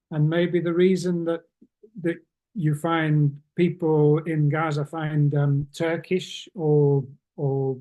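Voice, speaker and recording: A man teaching an online English class, asking slow, encouraging questions with many pauses. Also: a little high, darkish tone.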